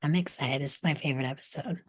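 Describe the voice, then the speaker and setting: A woman voice actor with a distinctly low voice chats casually. Her audio is dry and free of room echo, coming through a video call. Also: fast. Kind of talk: casual chat.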